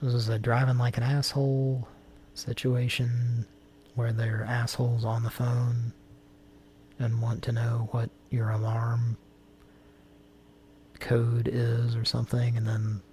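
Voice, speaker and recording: A man's voice: mid-range voice, monotone, slow, warm tone, a little hiss or hum. A man hosting a prank-call show, chatting casually in a rambling, jokey and profane way. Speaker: host. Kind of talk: casual chat.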